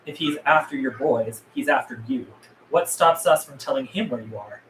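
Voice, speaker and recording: A blunt, wary survivor, read by a woman at a table read in a zombie film. The pitch moves around a lot as she questions and bargains. The room is echoey and a bit noisy. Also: very animated, moderate pace. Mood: wary, determined. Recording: roomy, echoey with room noise.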